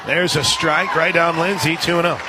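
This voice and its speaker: A man doing live radio play-by-play of softball, excitable, in short punchy calls from an outdoor stadium. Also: fairly high pitch, moderate pace. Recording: live outdoor stadium broadcast, crowd noise. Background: loud crowd and ballpark ambience.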